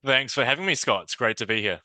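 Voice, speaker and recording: A man's voice: mid-range voice, animated, fast talker, pushed and bright, dry recording. A man with a New Zealand accent, answering questions as a guest on an interview podcast in a thoughtful, conversational way. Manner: thoughtful, conversational, frequent fillers and restarts.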